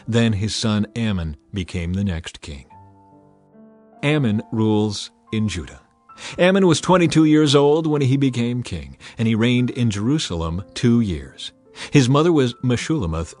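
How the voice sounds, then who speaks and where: A man reading scripture aloud as narrator in a measured, solemn way. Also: mid-range voice. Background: soft music.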